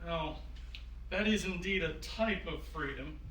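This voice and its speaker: Man acting as genial wise founding father, courtly measured phrasing, high bright voice, staged reading, distant roomy recording. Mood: earnest, probing. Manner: courtly, old-fashioned phrasing, measured and avuncular. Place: room at a live staged reading.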